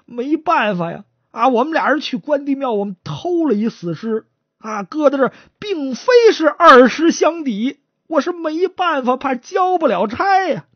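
Cowering chatterbox guard for a traditional tale, done by a woman: very high, servile, whining, stammering, swooping pleas. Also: sing-song, moderate pace, dry recording, muffled recording. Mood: fearful confessing. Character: cowering, chatterbox male yamen guard pleading innocence.

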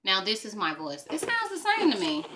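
A woman's voice: mid-range voice, brisk, very noisy. A woman in her thirties chatting playfully and casually to camera, recorded on a phone at arm's length in a living room. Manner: animated, exclaiming. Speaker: vlogger. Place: small living room, seated on a couch.

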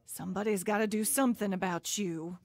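A woman's voice: medium pitch, fairly even intonation, fairly quick, a little mellow, lightly acted. An actress playing a worried, scolding middle-aged mother, urgent and emotional, in a radio drama. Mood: stern, worried. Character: worried, scolding mother of a wayward teenage son.

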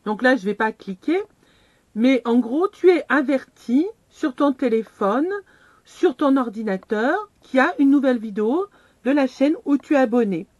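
A woman's voice: high voice, lively intonation, moderate pace, darkish tone, dry recording, dull audio. A middle-aged woman vlogging from inside her camper van, casually walking viewers through a screen step by step with a chatty, self-deprecating manner.

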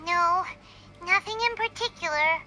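A woman voicing a small, perky, whiny fairy companion in a video game, with a squeaky childlike voice and exclamatory delivery. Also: measured pace, poor audio. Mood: curious. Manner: exclamatory, refers to herself in third person. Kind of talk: animated game voice acting. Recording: loud game music behind.

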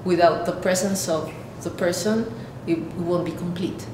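A woman's voice: steady tone, normal speed. Echoey, hesitant woman with Peruvian Spanish accent. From Peru. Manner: hesitant, searching for words. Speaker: artist. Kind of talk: explaining own artwork to camera. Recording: echoey room, clear rumbling background noise.

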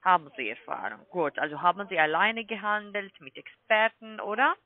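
A woman with a foreign accent in German, a non-native speaker, making an insistent scripted sales pitch as a call-centre agent, with repeated tag questions. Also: normal speed. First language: not German. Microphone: phone call. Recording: muffled tinny phone line.

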